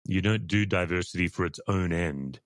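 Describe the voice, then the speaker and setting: A man's voice: low voice, normal speed, no echo, clean studio sound. A middle-aged man hosting an interview, speaking candidly and reflectively with a self-deprecating touch. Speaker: podcast host, church leader. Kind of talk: conversational interview.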